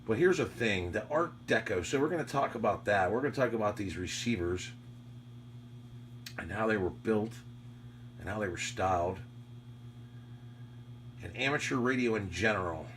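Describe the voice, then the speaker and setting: A man's voice: on the low side, animated, moderate pace, long pauses, fairly bright tone. An American man, an amateur radio operator, rambling casually and nostalgically in a reflective, conversational monologue. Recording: clearly noisy audio with hum.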